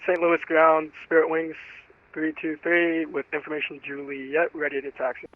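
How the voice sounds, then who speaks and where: A man's voice: a little high, flat delivery, moderate pace. A man playing an airline pilot in a flight simulation, speaking in radio readbacks to ground control. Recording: tinny, dry phone-like radio transmission.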